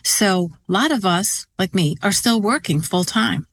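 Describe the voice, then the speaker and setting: A woman's voice: mid-range voice, expressive, measured pace, a little hiss or hum. A woman of seventy answering questions conversationally as an interview guest and organiser.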